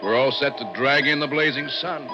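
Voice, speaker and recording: An actor playing a wisecracking, excitable lab operator in a 1960s radio drama, with informal, exclamatory delivery. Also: male voice, medium pitch, a little slow, lightly acted. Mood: cocky, eager. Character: cocky, wisecracking male lab operator, easily alarmed. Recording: dull old radio recording, loud music behind.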